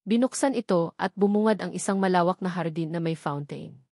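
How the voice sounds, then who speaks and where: A woman's voice: on the low side, a little fast, warm tone, no echo, studio quality. A woman reading a scripted dramatic story as its narrator.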